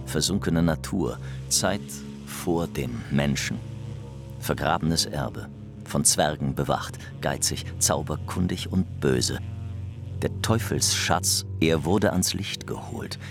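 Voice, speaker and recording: An actor playing an ominous, incantatory narrator in a poetic radio drama. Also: male voice, rumbling low, takes it slowly, vocal fry, heavily acted. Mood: dark, incantatory. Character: ominous, incantatory narrator of ancient buried treasure. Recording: music behind the voice.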